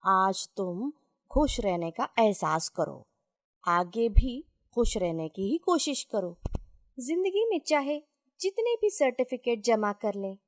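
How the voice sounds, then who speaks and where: A humble old gentleman of a storybook tale , as a woman reads him, gives gentle admonishing counsel. The delivery is very slow and philosophical, in a fairly high register. The sound is dry and close. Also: very animated, dry recording, heavily acted. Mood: philosophical, instructive.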